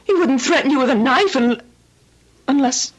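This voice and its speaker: An actress playing an anxious, weary, devoted working wife in an old-time radio drama, halting and distressed. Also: female voice, high voice, lively intonation. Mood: distraught.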